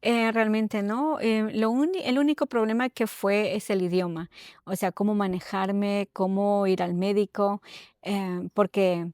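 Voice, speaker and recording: A middle-aged woman from Ecuador, telling her personal story haltingly as an interview guest, often checking in with tag questions. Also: medium pitch, fairly even intonation, a little mellow, clear, resonant tone, no echo, clean studio sound.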